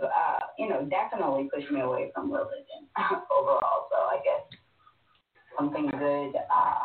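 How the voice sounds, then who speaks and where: Low-voiced woman on a tinny phone. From born in North Sudan, moved to the States at six. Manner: hesitant, thoughtful, frequent "like". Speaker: caller to a talk show. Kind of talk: casual, reflective conversation. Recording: tinny, roomy phone line, distant.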